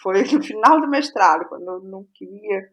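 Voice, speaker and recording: A Brazilian woman in a casual interview as a guest playwright, speaking haltingly and repeating phrases as she thinks aloud. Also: mid-range voice, expressive.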